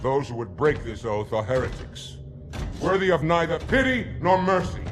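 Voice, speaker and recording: An actor playing a zealous alien leader who speaks in a grandiose, preachy way in a game cutscene. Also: male voice, fairly high pitch, big pitch swings, moderate pace, background noise. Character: lofty male alien leader, zealous and stern.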